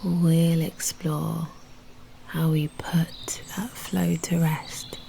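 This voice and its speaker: A British woman leads a guided meditation at an extremely slow pace, her soft voice often sinking toward a whisper. The tone is dark and mellow, with long, soothing pauses, over faint background ambience. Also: deep voice, level tone, gravelly, slight background noise.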